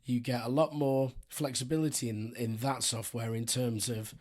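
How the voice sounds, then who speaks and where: Hoarse, raspy-voiced man hosting a loose, chatty software tutorial. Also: medium pitch, moderate pace. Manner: relaxed, rambling, frequent ums and restarts. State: hoarse, sore throat. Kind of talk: screen-recorded software walkthrough.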